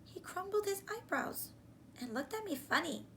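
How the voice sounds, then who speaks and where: A woman in her thirties reads a children's story aloud like a teacher, animated and deliberate, pausing to question her young listeners. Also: extremely high pitch, poor audio. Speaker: teacher reading a picture book aloud. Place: small room indoors, on a couch. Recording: clear background room noise.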